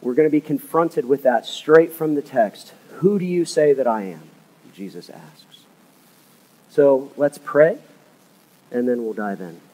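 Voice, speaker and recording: Male assistant pastor, slightly hoarse, earnest sermon delivery, mid-range voice. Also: medium pitch, unhurried, poor audio. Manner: earnest, drops to a hushed, soft voice in prayer. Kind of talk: sermon and prayer. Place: church sanctuary.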